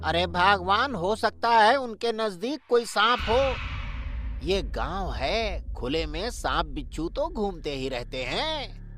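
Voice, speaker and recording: A man plays a worried, caring villager in a cartoon tale. His voice is high and unhurried, with an earnest delivery over loud music. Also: big pitch swings, full character voice. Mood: concerned, insistent. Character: worried, caring male villager and buffalo keeper. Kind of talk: cartoon story dubbing.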